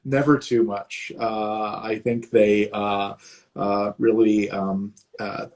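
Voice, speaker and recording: A man's voice: fairly low pitch, little pitch movement, slow pace, a little mellow. A man hosting a casual chat, rambling and full of "uh" fillers. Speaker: host, series creator.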